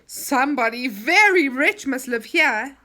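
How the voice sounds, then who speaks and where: An eager boy from a children's story exclaims in wonder, as a woman reading aloud speaks his lines. His voice is high and bright, with lively pitch. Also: a little slow, heavily acted. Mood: amazed.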